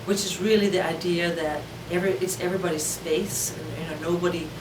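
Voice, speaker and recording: A woman's voice: steady tone, brisk, distant mic. A woman from Denmark, an organization leader, speaking reflectively in an interview with loose fillers. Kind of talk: interview talk. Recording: roomy, off-mic, noisy rumble.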